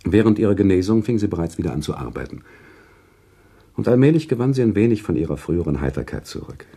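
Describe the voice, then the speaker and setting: An actor playing an earnest, sentimental author who tells a story in a radio play, with deliberate, expressive literary delivery. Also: male voice, low voice, slightly rough, full character voice. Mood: reflective. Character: male author narrator recounting a sad love story, earnest and sentimental. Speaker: narrator.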